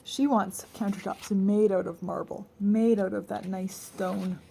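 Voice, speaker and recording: A woman reading a children's story aloud in an expressive, teacherly way, as if explaining to young listeners. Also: mid-range voice, unhurried, gravelly, slight background noise. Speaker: storybook reader.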